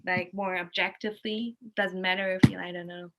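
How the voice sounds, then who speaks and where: A woman's voice: lowish voice, little pitch movement, fairly quick, pushed and bright, rough recording. A woman with a German accent, a native German speaker, talking casually and emphatically in an informal, opinionated way. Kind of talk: casual, animated conversation.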